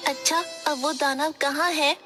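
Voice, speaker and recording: A woman's voice: high-pitched, fairly even intonation, measured pace, over music. A woman voicing a bold, curious young village child in a cartoon, with a teasing, inquisitive manner. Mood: wide-eyed curiosity.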